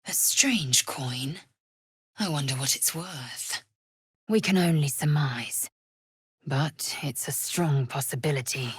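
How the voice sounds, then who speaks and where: Husky, haughty alien warrior woman. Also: extremely low pitch, slow pace, dry recording, studio quality, full character voice. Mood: stern, haughty. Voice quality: husky, raspy, breathy. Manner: imperious, clipped, formal, disdainful. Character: fierce, haughty young female alien githyanki warrior, curt and militant. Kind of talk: video game voice acting.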